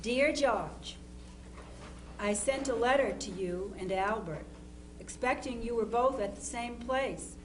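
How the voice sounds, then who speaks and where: Woman playing an 1860s family letter writer, slightly high voice, slow deliberate reading, noisy recording with hum. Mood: measured, earnest. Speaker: reader in a dramatization of letters. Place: live presentation before an audience.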